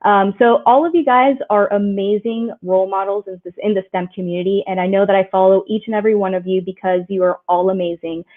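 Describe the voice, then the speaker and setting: A woman's voice: mid-range voice, fairly even intonation, fast talker, few pauses. Woman moderator on a tinny call. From Puerto Rico. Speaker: panel moderator. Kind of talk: online panel discussion. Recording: tinny phone-like audio.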